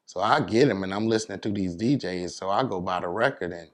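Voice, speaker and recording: A man's voice: low-pitched, brisk. A middle-aged American man chatting casually as an interview guest, telling his story in a laid-back, plain-spoken way.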